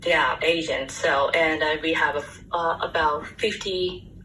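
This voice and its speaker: A low-pitched woman in her forties speaks English with a Japanese accent, pausing often to find her words. She sounds far from the mic in an echoey room. A faint hum runs underneath, like a remote call. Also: fairly even intonation, sharp tone. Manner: halting, searching for words. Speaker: guest real estate agent. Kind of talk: interview answers. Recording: distant echoey remote line with faint noise.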